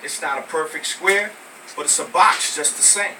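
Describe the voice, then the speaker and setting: An American man from Brooklyn performs spoken word poetry in an emphatic, deliberate way. His voice is bright and slightly high. He is recorded at some distance from the mic, in a live venue. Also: a little hiss or hum. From Brooklyn, New York, United States.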